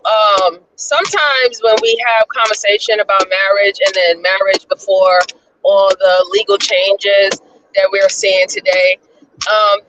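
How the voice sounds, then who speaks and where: A woman's voice: medium pitch, dull audio. Woman calling in from a car. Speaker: caller. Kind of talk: casual panel discussion. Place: driving a car. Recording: distant phone-in with road noise.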